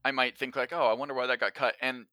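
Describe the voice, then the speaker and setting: Male interviewee, medium pitch, bright forward tone, measured casual explainer. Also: steady tone, dry recording, studio quality. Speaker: podcast guest, audio drama writer and actor.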